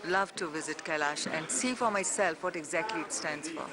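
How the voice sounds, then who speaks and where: A woman's voice: deep voice, normal speed, background noise. An older woman on a pilgrimage, speaking earnestly and wistfully.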